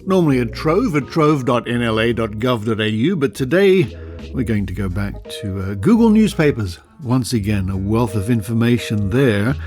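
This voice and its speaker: A man's voice: mid-range voice, animated, moderate pace, darkish tone, faint music behind. An Australian man hosting a podcast, reading aloud with asides and theatrical emphasis. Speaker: podcast host.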